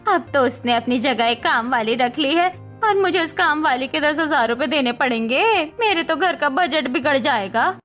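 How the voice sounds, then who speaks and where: A woman's voice: high voice, normal speed, hardly any pauses, fairly bright tone, soft background music. A woman playing a burdened, regretful middle-aged mother-in-law in a cartoon melodrama, complaining plaintively. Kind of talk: Hindi cartoon family melodrama acting.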